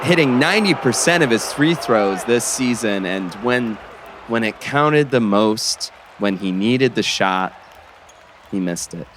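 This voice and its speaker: A man playing an excited basketball play-by-play announcer on the radio in an audio drama, with dramatic, emphatic calls. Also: mid-range voice, a little slow, natural voice. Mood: hushed drama. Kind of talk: sports commentary in an audio drama. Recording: basketball game noise behind.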